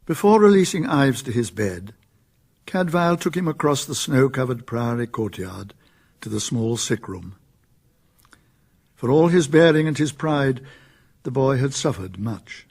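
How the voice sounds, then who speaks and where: A British man narrating a literary radio drama in a husky voice, solemn and measured. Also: medium pitch, expressive, darkish tone, heavily acted. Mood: tender. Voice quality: husky, creaky.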